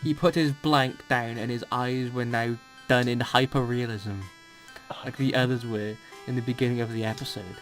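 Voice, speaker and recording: A man's voice: medium pitch, fairly even intonation, normal speed, faint music behind, rough recording. A man casually bantering and reading fan fiction aloud in a mock-dramatic, teasing and sweary way.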